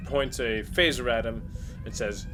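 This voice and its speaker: A man playing a tabletop roleplaying game, narrating his moves in casual, halting, joking chat over a noisy recording. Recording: noisy group recording, constant ambient rumble.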